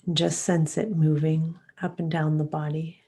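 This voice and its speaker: A woman leading a guided meditation in a soft, calm, soothing and unhurried way, with long pauses and breath at the ends of phrases. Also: low voice, breathy edge.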